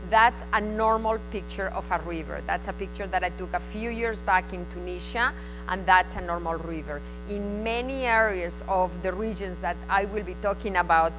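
A Spanish-accented woman presenting to a conference audience at a middling pitch. She sounds frank and conversational, and her delivery comes in short bursts between pauses. Faint music sits under her voice. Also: low-quality recording. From Spain. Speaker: invited conference speaker. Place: conference hall.

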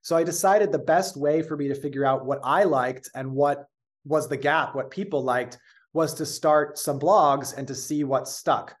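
A man with an American accent, a company founder talking as an interview guest, telling his story in a measured, fluent way with the odd filler. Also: fairly high pitch. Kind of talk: casual interview talk.

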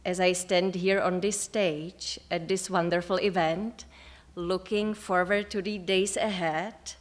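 Woman, 30s, Czech accent, native Czech speaker, formal scripted conference introduction from a stage. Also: medium pitch. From Czech Republic. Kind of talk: prepared keynote introduction. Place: lecture stage.

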